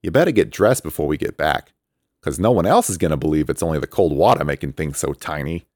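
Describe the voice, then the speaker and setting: A man's voice: deep voice, huge pitch swings, brisk, gravelly, darkish tone, no echo, studio quality, voice pushed up, full character voice. A man voicing a small, cocky male bat creature who teases and taunts. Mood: teasing. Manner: sarcastic, taunting.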